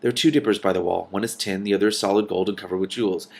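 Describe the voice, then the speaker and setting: A man's voice: low-pitched, fairly even intonation, racing, gravelly. A man voicing a matter-of-fact female dragon from a storybook, explaining things with dry, scornful authority.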